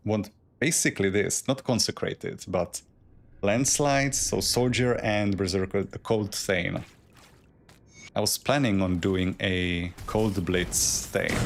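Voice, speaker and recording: Low-voiced Czech man streaming a game. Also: slight background noise. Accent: Czech, with a German tinge. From Czech Republic. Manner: thinks aloud, loose and rambling with restarts. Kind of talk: live gameplay commentary.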